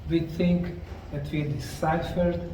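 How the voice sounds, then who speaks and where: A middle-aged man slowly narrating a documentary on location at ancient ruins, explaining the sites like a tour guide. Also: a little high, little pitch movement, mellow, a little hiss or hum. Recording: roomy, distant mic with faint outdoor ambience.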